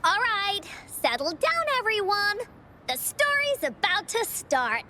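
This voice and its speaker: A woman's voice: very high-pitched, sing-song, slow, pushed and bright, slight background noise, exaggerated voice. A woman playing a cheerful little girl who tells adventure stories theatrically in a video game. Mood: storytelling. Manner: theatrical, cheerful, childlike storytelling. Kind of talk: video game storybook narration.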